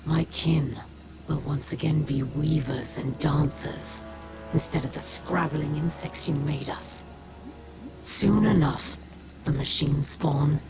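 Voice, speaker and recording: A proud, weary alien exile speaks slowly and deliberately, with loud music behind her. She is a bitter female leader from a video game, formal and solemn in every phrase. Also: low voice, low-quality recording. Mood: solemn, longing, then cold, resolute. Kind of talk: video game character monologue.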